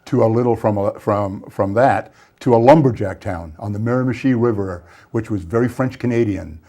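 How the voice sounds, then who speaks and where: A Scottish-born man in his sixties, a guest author and songwriter telling wry, brisk anecdotes in a studio interview on a lapel mic. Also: lowish voice, mellow. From Scotland. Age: 60s. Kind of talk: casual interview storytelling. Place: small TV studio set.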